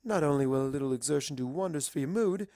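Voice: mid-range voice, lively intonation, quick pace, dark tone, no room sound, clean studio sound, close to an everyday voice. A narrator voicing a refined, bossy but caring female unicorn pony with formal, genteel phrasing. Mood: firm, caring.

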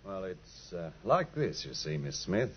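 Smooth-talking polite gent in an old radio play, low voice, lively intonation, measured. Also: male voice, a little hiss or hum. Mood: measured, persuasive. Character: polite, persuasive gentleman visiting from Australia. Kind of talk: 1950s radio drama acting. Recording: dull old 1950s radio recording.

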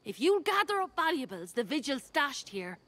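A woman's voice: high-pitched, very animated, moderate pace, a bit bright, slight background noise. An actress voicing a wry, streetwise, mercenary woman treasure hunter in a fantasy video game, talking in a sly, colloquial way. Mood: sly, bargaining.

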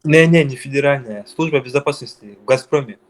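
A man's voice: mid-range voice, fairly quick, slight background noise. A young man from Dagestan who answers questions on a call, hesitant and getting defensive.

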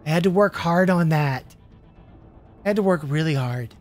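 A man hosting a show with a casual introduction, playful, rambling and halting. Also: fairly high pitch, soft background music. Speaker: host.

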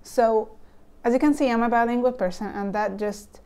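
Egyptian-accented young woman with a mellow voice. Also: fairly high pitch, fairly even intonation, moderate pace, clean tone. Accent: Egyptian Arabic. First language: Arabic. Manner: nervous, thoughtful, with frequent ums and likes. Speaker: vlogger. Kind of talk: casual explainer vlog. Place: home room with bookshelves, indoors. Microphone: lapel mic, camera close.

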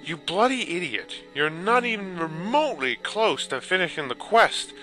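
A man's voice: sits high, big pitch swings, normal speed, pushed and bright, soft background music, full character voice. A man voicing an irritable, cowardly, scheming quest-giver in a comic fantasy game dub. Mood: panicky, irritated.

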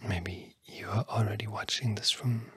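A tired-sounding ASMR host whispering a bedtime talk in a hushed, soothing, breathy way with long pauses. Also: deep voice, fairly even intonation, no room sound, studio quality.

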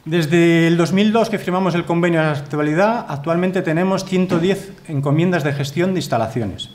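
A Spanish-accented man in his thirties lecturing at a conference, speaking into a desk microphone in a wood-panelled hall. His voice sits a little high for a man, and his delivery is earnest and emphatic, with frequent tag questions. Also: normal speed, a little mellow. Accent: Spanish from Spain. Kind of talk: formal conference talk with slides.

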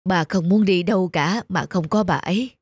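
A man's voice: high-pitched, normal speed, slightly rough, no room sound. A man voicing a devoted old farmer in a cartoon, earnest and affectionate. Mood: sorrowful. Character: devoted old bearded farmer, tender and sorrowful husband. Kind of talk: cartoon dubbing.

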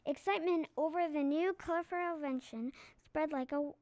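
A high-voiced female reader doing a scripted read-aloud of a children's book. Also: moderate pace, darkish tone, dry recording.

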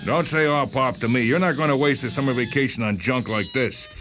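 A man's voice: medium pitch, a little fast, over music, pitched above the performer's own voice, lightly acted. A man voicing a hot-tempered, grumbling middle-aged shopkeeper and father in a dramatized radio story. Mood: angry. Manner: blustering, exasperated.

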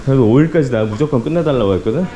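A man's voice: medium pitch, very fast. A man hosting a vlog, talking casually on location as he walks around and speaks with staff. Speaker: vlog host and business owner. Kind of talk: casual on-site chat. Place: indoor shop under renovation and offices. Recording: noisy handheld camera location sound.

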